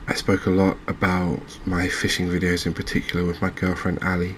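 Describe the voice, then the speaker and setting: A man hosting a gaming channel with soft-spoken ASMR commentary, talking in a gentle, unhurried, halting way. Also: sits low, monotone, slight background noise.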